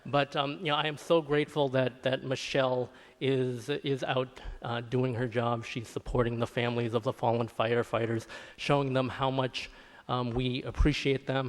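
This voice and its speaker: A man giving an informal, halting acceptance speech, repeating words as he goes, in a reverberant hall. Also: medium pitch. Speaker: award honoree. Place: large indoor event hall. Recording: echoey hall with long reverb.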